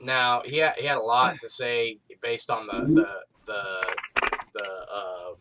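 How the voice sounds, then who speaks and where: A man's voice: medium pitch, steady tone, a little slow. A man hosting a casual, crude round table chat, rambling and repeating himself as he goes. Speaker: round table show host. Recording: distant noisy mic with clicks.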